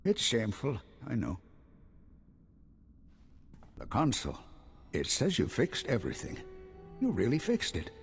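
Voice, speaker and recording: An actor playing an anxious, proud old hermit farmer and former guard in a video game, hesitant and halting. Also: male voice, mid-range voice, wildly swooping pitch, slightly rough, full character voice. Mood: ashamed, anxious. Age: older. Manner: hesitant, halting, repeating himself. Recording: long hall reverb, music behind.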